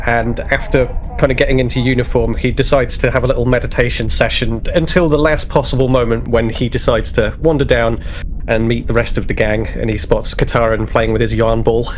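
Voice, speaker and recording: A man's voice: medium pitch, steady tone, brisk. A man playing a tabletop roleplaying game, describing his character in a casual, hesitant way. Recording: faint background noise.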